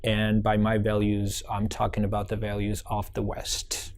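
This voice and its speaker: A man's voice: on the low side, monotone, normal speed, darkish tone. Young Georgian-born man with an American accent. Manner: reflective, many restarts and fillers. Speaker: interviewee. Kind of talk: oral history interview.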